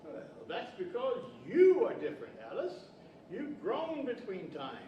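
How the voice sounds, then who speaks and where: A man's voice: extremely high pitch, wildly swooping pitch, unhurried, heavily acted. An older man playing a kindly, formal, theatrical wizard and wise professor in a radio-style stage play. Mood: kindly, instructive. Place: large library event room, standing microphone. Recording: distant, dull, echoey hall with hiss.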